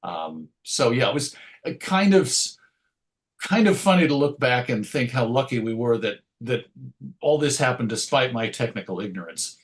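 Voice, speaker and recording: A man's voice: medium pitch, big pitch swings, normal speed. A middle-aged American man telling stories as an interview guest, discursive, self-deprecating and enthusiastic about his subject. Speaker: interview guest, radio show host. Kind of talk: reflective conversational interview storytelling.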